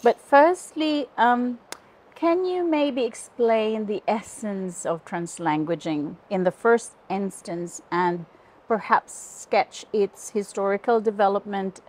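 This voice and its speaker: A woman's voice: medium pitch, slow pace, darkish tone. Middle-aged woman hosting an interview. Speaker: interviewer, university lecturer. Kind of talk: semi-prepared interview hosting. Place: outdoors in a leafy garden. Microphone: clip-on lapel mic.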